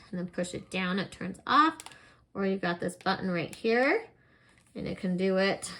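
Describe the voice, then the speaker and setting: A woman's voice: mid-range voice, fairly bright tone. A woman giving a casual product review, explaining things plainly step by step.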